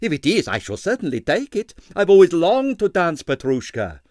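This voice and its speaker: A cocky young male dancer, acted by a narrator, breezy and stubborn. His pitch sits high and moves about freely, in a dry storybook recording. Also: huge pitch swings, normal speed, darkish tone, no room sound. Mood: breezy defiance. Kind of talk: audiobook character voice.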